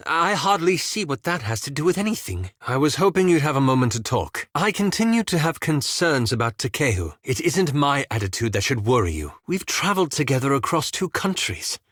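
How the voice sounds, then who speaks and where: A guarded elf adventurer from a video game, with a mid-pitched voice and a very dry recording. He chooses formal, careful words and sounds politely reserved, with a hesitant edge. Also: sing-song, brisk, few pauses, a bit bright, dry recording. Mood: dry, critical. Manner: formal, articulate, hesitant and apologetic phrasing. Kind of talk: scripted video game character dialogue.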